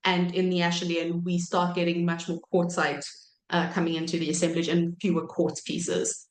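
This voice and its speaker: A woman in her thirties giving an academic lecture while croaky from a cold, pausing often with ums and correcting herself. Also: fairly low pitch, level tone, echoey room, low-quality recording. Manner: hesitant, many ums and self-corrections. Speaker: archaeology researcher giving a talk.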